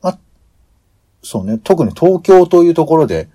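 A man's voice: a little high, very animated, normal speed, warm tone. A man in a casual planning discussion as a podcast host, speaking hesitantly as he searches for his words.

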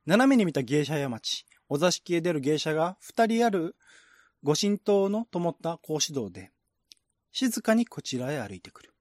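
A man delivers measured, scripted narration in the style of a radio drama. His voice sits somewhat high for a male reader. The recording is dry, with little room sound around the words. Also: very animated, a little mellow, no echo.

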